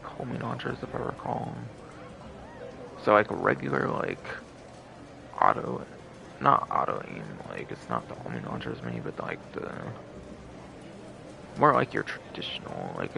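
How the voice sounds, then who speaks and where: A man's voice: on the low side, gravelly, background noise. A man hosting a game livestream with casual, rambling, repetitive commentary.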